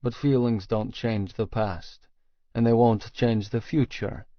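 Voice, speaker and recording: A man's voice: fairly low pitch, fairly even intonation, unhurried, no room sound, highs cut off. A man narrating first-person fiction as an audiobook reader, with a grave, controlled delivery.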